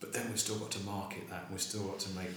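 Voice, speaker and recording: A man interviewing a farmer, asking thoughtful, probing questions in a relaxed conversational way. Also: low-pitched, quick pace, heavy reverb, slight background noise.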